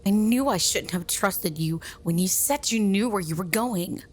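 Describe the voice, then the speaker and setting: A woman's voice: medium pitch, normal speed, darkish tone, lightly acted. A woman playing a bossy, irritable mean girl in an ASMR roleplay, with an exasperated, scolding and sarcastic way of talking. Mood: annoyed, sarcastic. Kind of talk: ASMR roleplay audio drama.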